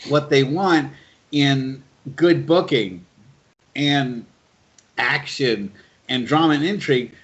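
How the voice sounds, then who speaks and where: A man's voice: mid-range voice, takes it slowly, long pauses, fairly bright tone. A man hosting a casual interview, talking conversationally and asking wordy questions, on muffled lo-fi audio. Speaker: podcast host, interviewer.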